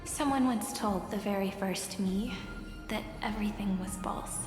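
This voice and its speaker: An actress playing a gentle, wistful young woman storyteller in a video game, speaking softly and lyrically. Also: medium pitch, lightly acted. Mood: wistful, tender. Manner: slow, measured, lyrical. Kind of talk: dramatic video game voice acting. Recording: echoey hall reverb under loud music.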